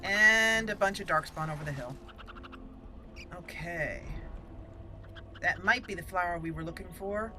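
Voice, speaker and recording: A woman's voice: fairly low pitch, measured pace, long pauses, noisy background. A woman hosting a roleplay game playthrough, chatting casually with many fillers and restarts. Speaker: host playing a roleplay game. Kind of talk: let's play commentary.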